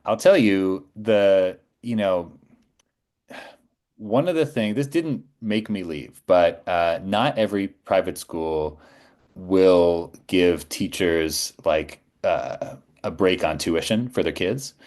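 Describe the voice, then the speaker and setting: A man's voice: low-pitched, slow, a little mellow. A middle-aged man telling stories in a casual, conversational way as a podcast guest.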